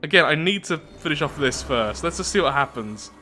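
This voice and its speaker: A man hosting a video game playthrough, talking through it in an animated, chatty way and thinking aloud. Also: fairly high pitch, fairly quick, soft background music. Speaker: YouTube gaming host. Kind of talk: game playthrough commentary, reading event text aloud.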